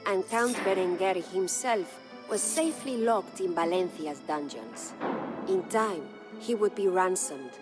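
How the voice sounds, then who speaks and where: A woman's voice: on the low side, lightly acted. An actress playing a proud, grieving medieval Spanish noblewoman who narrates her story in a solemn, stately, formal way for a video game. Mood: stately, reflective. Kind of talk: dramatic scripted storytelling. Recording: studio narration over prominent music.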